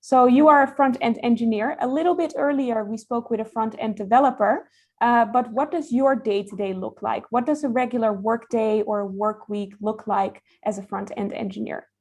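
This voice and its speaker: Woman hosting with frequent hesitations. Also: fairly high pitch. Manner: hesitant, many fillers, friendly and measured. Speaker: event host and interviewer. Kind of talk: hosting an online career event interview.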